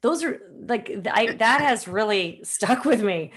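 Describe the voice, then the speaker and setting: A woman hosting a podcast interview in an informal, conversational and somewhat halting way. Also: on the high side, animated, fairly bright tone, husky. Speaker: podcast host.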